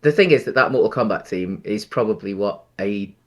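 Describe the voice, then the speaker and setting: Low-voiced man in casual discussion. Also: lively intonation, fairly quick. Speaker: podcast co-host.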